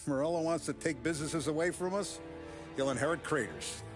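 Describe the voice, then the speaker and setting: An actor playing a blunt, authoritative mob boss giving orders in a video game cutscene. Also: male voice, a little high, fairly quick, natural voice. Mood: stern. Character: commanding older male mob boss. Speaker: crime boss. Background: music score.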